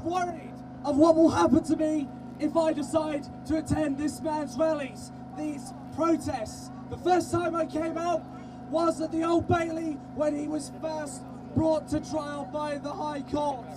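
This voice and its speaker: A man's voice: very high voice, little pitch movement, a little slow. A man from Norwich giving an impassioned, emotional speech at an outdoor rally. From Norwich, England. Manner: emotional, raises voice to a shout. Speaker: rally supporter speaking to the crowd. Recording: loud crowd and street noise.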